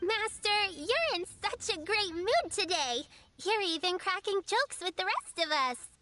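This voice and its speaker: A sweet little girl, with an extremely high, bright voice. She is earnest, dutiful and fussing like a small caretaker in a game, over faint ambience. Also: animated, a little slow, slight background noise, exaggerated voice. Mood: cheerful. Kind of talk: video game voice acting.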